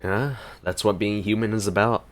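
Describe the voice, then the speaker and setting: A man's voice: fairly low pitch, steady tone, moderate pace. A man giving casual, blunt gameplay reaction commentary as a streamer, partly muttering to himself. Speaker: gaming streamer.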